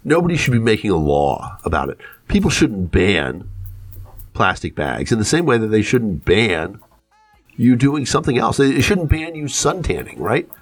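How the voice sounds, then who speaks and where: Talk radio host: man with a deep register, opinionated delivery, leans on rhetorical questions. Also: very animated, normal speed, slightly rough, faint music behind.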